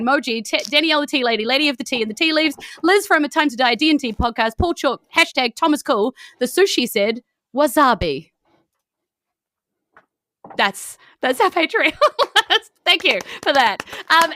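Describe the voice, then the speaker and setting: A woman's voice: high-pitched, pushed and bright, dry recording. A woman with a New Zealand accent hosting a chatty live stream as game master, with a playful, silly manner. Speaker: game master and podcast host.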